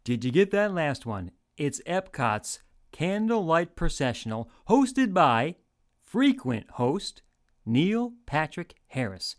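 A man hosts in old-time radio game show style. His slightly high voice moves up and down a lot as he presents to the listeners like a showman. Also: very animated, slow pace. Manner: showman-like announcing, addresses listeners directly.

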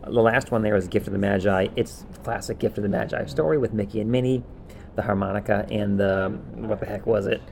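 A man with a creaky, slightly low voice co-hosts in a loose, casual style. He rambles through recaps offhandedly, with faint noise behind him. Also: fairly even intonation, brisk, darkish tone. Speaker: podcast co-host.

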